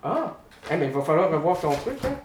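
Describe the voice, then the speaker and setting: A man hosting a casual radio interview, chatty, playful and quick to laugh. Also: a little high, sing-song, slight background noise. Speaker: radio host. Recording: roomy and distant from the mic.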